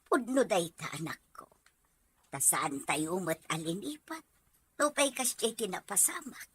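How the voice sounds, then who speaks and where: A woman's voice: on the low side, pushed and bright, breathy edge, exaggerated voice. A woman playing an emotional, caring older mother with a Filipino accent in an Ilocano audio drama.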